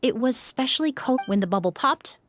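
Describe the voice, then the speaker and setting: A woman playing a courteous, composed young perfumer in a video game, explaining things in a measured, scholarly way. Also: a little high, expressive, slight background noise, natural voice. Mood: earnest. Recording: background music.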